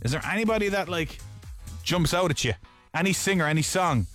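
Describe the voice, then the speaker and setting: A man's voice: high-pitched, expressive, brisk, a bit bright. Radio guy, chatty and jokey, voice bouncing up and down, bit of music behind. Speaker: radio host. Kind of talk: breakfast radio chat and giveaway. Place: radio studio.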